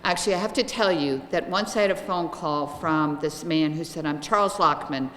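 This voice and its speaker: A woman's voice: low voice, normal speed, a little hiss or hum. Middle-aged lady historian talking to a crowd, big voice, echoey hall. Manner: lively, chatty, projecting to a room. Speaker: guest lecturer, local historian. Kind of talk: public lecture with slides. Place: lecture room with audience. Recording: echoey room, some reverb.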